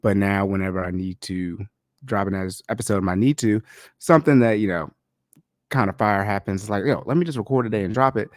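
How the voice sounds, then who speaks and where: A man with a lowish voice hosts his own podcast in a relaxed, slangy manner. He sounds stuffed up and nasal from a lingering cold. The sound is close and dry with no room echo. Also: fairly quick. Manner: loose, chatty, slangy delivery. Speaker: podcast host. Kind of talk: casual solo podcast talk. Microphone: podcast microphone.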